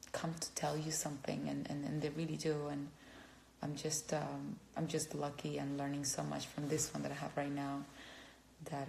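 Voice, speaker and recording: A woman from Cuba with a Cuban Spanish accent, chatting casually on a phone livestream, halting and gushing as she answers fans. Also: deep voice, creaky, a little mellow, a little hiss or hum. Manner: halting, searching for words, gushing.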